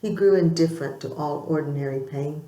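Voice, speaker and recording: A woman reads a story aloud in the manner of an audiobook narrator. Her voice sits a little low for a woman. The recording is noticeably roomy, with a clear echo around the words. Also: brisk, warm tone. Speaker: audiobook reader. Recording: roomy, echoing amateur recording.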